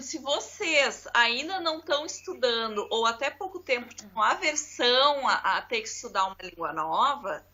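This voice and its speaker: Woman, lively chatty co-host, bright sharp voice, a bit high. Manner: lively storyteller, speaks straight to viewers. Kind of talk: casual chat. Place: abroad, remote call.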